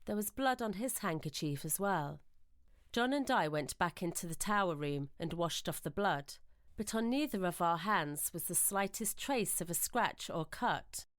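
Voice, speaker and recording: A woman reading scripted ghost-story narration in a measured, literary, suspenseful manner, as in a radio drama. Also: lowish voice, animated, no echo, clean studio sound.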